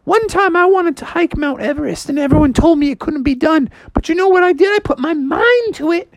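A woman's voice: high-pitched, animated, fairly quick, no echo, pitched above the performer's own voice. A woman voicing a peppy, overbearing mother frog in a storybook, emphatic and sing-song, full of encouragement. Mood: motivational. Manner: sing-song, emphatic, pep-talk repetition.

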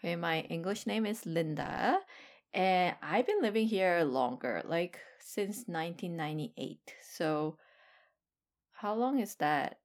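A woman's voice: fairly low pitch, expressive, takes it slowly. A woman, co-hosting a podcast in casual chat, filling her talk with "like" and "um". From Korea. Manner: chatty, lots of "like" and "um". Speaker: podcast co-host, ESL teacher.

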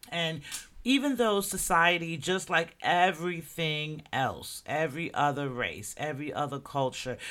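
Man, podcast host, casual solo commentary, somewhat high voice, bright tone, loose delivery. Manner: conversational, loose, asks "right?" often.

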